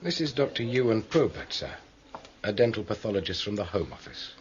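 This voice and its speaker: An actor playing a courteous but insistent police detective, clipped and officious, in a radio drama. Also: male voice, on the low side, very animated, brisk, slight background noise. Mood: cool, polite. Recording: dull radio recording, music behind.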